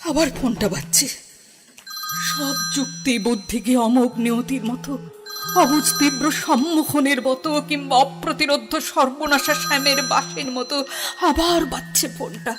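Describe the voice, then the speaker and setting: An actress playing a grieving widow and schoolteacher torn between longing and suspicion in a radio drama. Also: female voice, sits high, fairly even intonation, measured pace, over music. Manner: anguished, then sharp and indignant.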